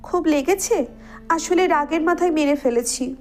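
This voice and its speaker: A woman's voice: high-pitched, normal speed, faint music behind. A young woman playing an emotional, reproachful but loving young wife in a romantic audio story. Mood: tender, sorry.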